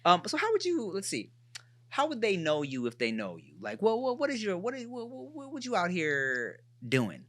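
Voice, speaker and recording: Laid-back, teasing podcast host. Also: female voice, medium pitch, wildly swooping pitch, moderate pace, a bit bright. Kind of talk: casual chat. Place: podcast studio.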